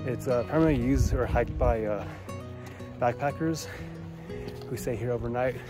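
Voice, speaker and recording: Young man, from Texas, outdoor hiking vlog, phone at arm's length, tired from altitude, relaxed filler-heavy talk, music playing behind. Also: medium pitch, normal speed. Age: young adult. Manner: easygoing, with frequent fillers on the trail. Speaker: travel vlogger. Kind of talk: casual hiking vlog with voice-over narration. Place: outdoors on a high mountain trail. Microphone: phone camera held at arm's length.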